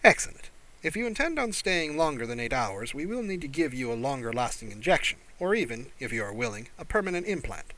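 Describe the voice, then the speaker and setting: A man's voice: mid-range voice, very animated, brisk, a bit bright, slight background noise, rough recording. A man voicing a small male alien doctor in an audiobook, a pleasant tenor who is formal, terse and faintly condescending. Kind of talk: audiobook character voice.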